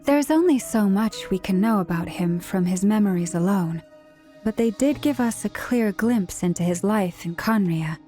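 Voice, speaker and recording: A reserved, serious young woman from a video game , acted by a woman, speaks with measured formality. The tone is dark and relaxed at a middling pitch, over faint music. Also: lightly acted. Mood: calm, earnest. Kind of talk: English video game dub acting.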